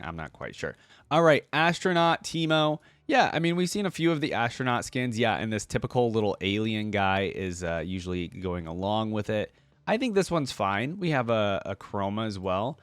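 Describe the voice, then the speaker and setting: A man with a fairly low voice that swings widely in pitch, recorded dry and close. He hosts in an informal reaction style, chatting and thinking out loud as he comments. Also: no room sound. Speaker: YouTube reaction host. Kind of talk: casual reaction commentary on game skins.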